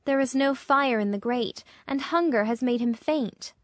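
Storybook living statue of a prince, acted by a woman, high-pitched, gentle, pleading, noble, close dry recording. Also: moderate pace, dry recording, natural voice.

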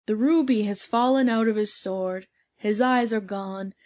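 A woman's voice: mid-range voice, a little slow, darkish tone, natural voice. A woman voicing a pompous, self-important male town mayor. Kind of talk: storybook reading.

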